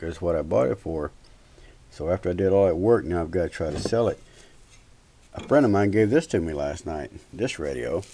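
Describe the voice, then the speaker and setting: A man's voice: low-pitched, warm tone. An older man giving a casual, unscripted hobby tutorial in a folksy, plain-spoken, instructional way. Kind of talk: casual unscripted how-to demonstration. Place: cluttered indoor home workbench. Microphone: handheld camera's built-in microphone. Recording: noisy amateur camera audio with handling clicks.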